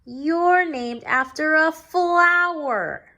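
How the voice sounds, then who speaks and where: A woman's voice: high-pitched, drawn-out words, sharp tone, voice pushed up, heavily acted. A woman voicing a snooty, know-it-all little schoolgirl from a storybook, pointed and emphatic. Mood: smug.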